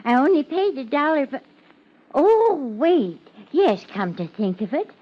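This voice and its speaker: A fluttery small-town spinster landlady in an old radio play, nervous and easily smitten. Her high voice wavers widely and she takes her time, stammering and hesitating. The recording is muffled and dated. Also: very animated, measured pace, mellow. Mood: flustered. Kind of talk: 1950s radio drama acting.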